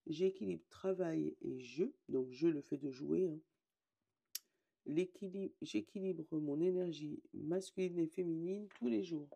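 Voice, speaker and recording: A hoarse woman, casually chatting and reading oracle card meanings aloud, often correcting herself. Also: deep voice, measured pace, dark tone, lo-fi sound. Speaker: tarot and oracle card reader.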